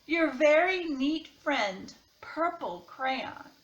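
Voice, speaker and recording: Woman reading as a fussy, high-voiced neat crayon. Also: expressive, drawn-out words, highs cut off, full character voice. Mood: fussy, exasperated. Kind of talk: children's picture-book read-aloud. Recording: roomy, echoing sound.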